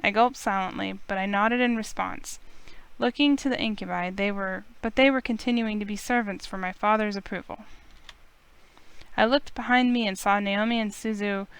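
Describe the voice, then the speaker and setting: A woman's voice: mid-range voice, fairly even intonation, normal speed, clear, resonant tone, close to an everyday voice. A woman playing an earnest, anxious young heiress, narrating her own story in a visual novel. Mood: uneasy, reflective. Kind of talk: scripted first-person visual novel narration.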